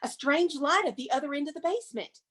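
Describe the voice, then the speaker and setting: A woman's voice: sits high, lively intonation, quick pace, fairly bright tone. A woman presenting as a museum curator and reading a script, with theatrical, emphatic, dramatic narration.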